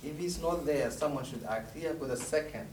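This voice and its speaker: A man's voice: on the high side, a little fast. Talkative man explaining. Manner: talkative, explains by comparisons, repeats words. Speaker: mosque community member. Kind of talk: casual interview explanation. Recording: roomy, distant mic, faint noise.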